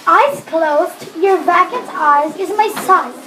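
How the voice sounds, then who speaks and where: A woman's voice: very high-pitched, slow, pushed and bright. A female student presenter reciting a script in an amateur recording. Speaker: student presenter in a group puppet show. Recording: noisy amateur room recording.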